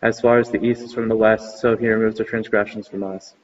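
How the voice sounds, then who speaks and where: A man reads psalms in an unhurried liturgical style, holding nearly one pitch throughout. The recording is muffled and dull. His voice trails off into a long church reverb. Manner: steady monotone chant-like reading. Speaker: reader of psalms.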